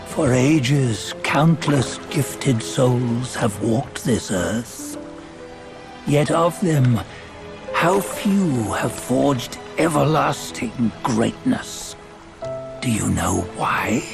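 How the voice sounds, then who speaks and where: A man playing a stern, scornful celestial judge in a fantasy game, speaking in measured, archaic, moralizing phrases. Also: mid-range voice, expressive, vocal fry, over music, full character voice. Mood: solemn, admonishing. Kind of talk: theatrical fantasy game dialogue.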